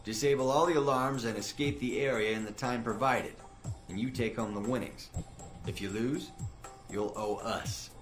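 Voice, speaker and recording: A man's voice: medium pitch, normal speed, lightly acted. An actor playing a cocky, teasing, smug male agent trainer in a video game. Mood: cocky, goading. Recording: roomy echo, music behind.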